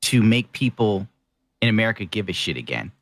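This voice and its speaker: A man's voice: lowish voice, very animated, brisk, no room sound, clean studio sound. Casual, profane man chatting on a podcast. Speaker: guest painter and video maker. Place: small room.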